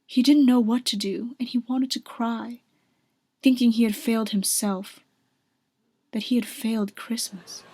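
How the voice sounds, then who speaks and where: A woman's voice: fairly high pitch, fairly even intonation, measured pace, dark tone, husky, no room sound. A woman narrating a scripted radio drama as an earnest storyteller.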